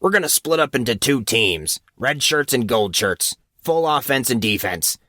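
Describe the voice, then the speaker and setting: Man acting a gruff, mocking football coach. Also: mid-range voice, very animated, moderate pace, pushed and bright, no room sound, studio quality. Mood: barking. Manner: barking, sarcastic commands. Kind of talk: audiobook character acting.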